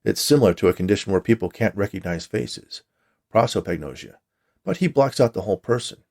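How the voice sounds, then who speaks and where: A man's voice: lowish voice, expressive, brisk, vocal fry, darkish tone. A man voicing a matter-of-fact veteran watcher who explains the job to a new hire in a story reading. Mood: matter-of-fact explaining.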